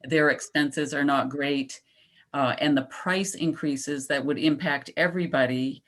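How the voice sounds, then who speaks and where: A woman with a low, steady voice lays out a policy proposal to a local board. She explains patiently and slows down to stress her key points. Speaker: climate advocate presenting to a town board. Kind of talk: public comment at a town meeting.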